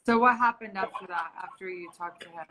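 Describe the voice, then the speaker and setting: A woman's voice: medium pitch, brisk, pushed and bright, a little hiss or hum. A woman interviewer asking short, friendly questions with some fillers.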